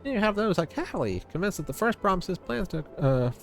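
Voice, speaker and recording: A man's voice: sits high, sing-song, a little fast, creaky, voice pushed up, full character voice. A man voicing a young, small and loyal fantasy villager, earnest and eager, reading game dialogue aloud. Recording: music under the voice.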